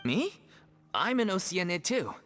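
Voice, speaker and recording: A man's voice: high voice, sing-song, a little slow, loud music behind the voice. A man playing an earnest, loyal young troupe actor in a video game. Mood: friendly.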